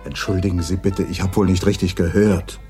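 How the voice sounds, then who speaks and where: An actor playing a dry, gruff middle-aged police detective chief in a radio crime drama, blunt and matter-of-fact. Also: male voice, deep voice, level tone, fairly quick, dark tone, faint music behind, natural voice. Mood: indignant. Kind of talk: late-1970s radio crime drama acting.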